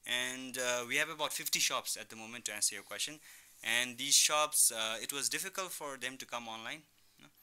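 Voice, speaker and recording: A young man from Thailand talks as the guest in a radio interview, with a very bright, forward voice. He explains his business conversationally, reaching for examples and often adding "you know". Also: medium pitch, fairly quick, few pauses, smooth. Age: young adult. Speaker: entrepreneur guest, online business founder. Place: radio studio.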